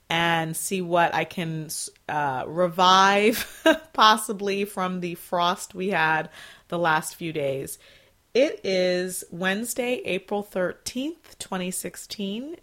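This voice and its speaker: A woman in her forties hosting a casual solo podcast from home, chatty and rambling, her voice still a little scratchy and clearing her throat after being sick. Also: mid-range voice. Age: 40s. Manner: chatty, rambling, with fillers and soft laughs. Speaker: podcast host.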